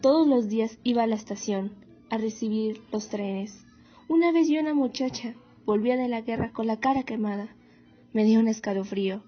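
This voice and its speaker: A woman's voice: fairly high pitch, normal speed, natural voice. A woman playing a devout, worried mother of a soldier in a radio drama. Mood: pleading, sorrowful. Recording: dull lo-fi recording, music underneath.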